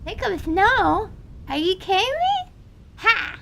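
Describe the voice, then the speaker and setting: Squeaky, excitable little girl. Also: takes it slowly, creaky, heavily acted. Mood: gleeful. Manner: exclamatory, teasing, bouncy. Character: excitable cheeky young girl, big sister.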